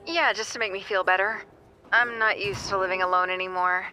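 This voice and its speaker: A woman's voice: mid-range voice, fairly even intonation, fairly quick, pushed and bright, soft background music, lightly acted. A woman playing a lonely doctor in an audio drama, speaking hesitantly and pleadingly. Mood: vulnerable, lonely. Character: lonely, pleading woman doctor asking old friend for help.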